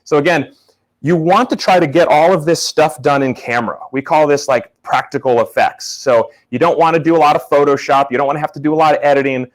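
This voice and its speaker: A young man from Florida with a loud voice, teaching a casual live photography workshop and talking to viewers in the chat. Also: medium pitch, poor audio. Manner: casual, upbeat, talks to chat. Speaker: photography instructor.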